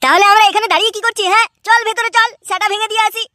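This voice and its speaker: Extremely high-pitched cartoon boy hero: hot-headed, mouthy, blustering. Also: steady tone, racing, bright, forceful tone, no room sound, studio quality, full character voice. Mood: impatient, angry. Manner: scolding, blustering. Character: brash, hot-tempered foul-mouthed young boy hero. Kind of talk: amateur comic cartoon dubbing.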